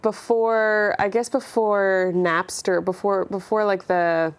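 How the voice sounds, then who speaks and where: A woman's voice: medium pitch, steady tone, slow pace, clear, resonant tone. A young woman from Canada with a Canadian accent, an interview guest chatting casually and thinking aloud. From Canada, born in Ireland. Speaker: interview guest, singer-songwriter. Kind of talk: casual chat.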